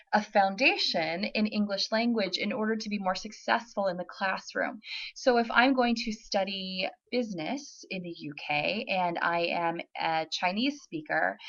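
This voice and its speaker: A woman's voice: medium pitch, a bit bright, highs cut off. A woman working as a university admissions representative, answering typed questions in a webinar in a friendly, informative way with frequent ums and uhs.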